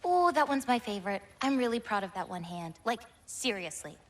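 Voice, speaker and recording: Teenage giantess: gentle, self-reliant, high and animated voice, natural conversational game acting. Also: female voice, fairly quick. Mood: modest, sincere.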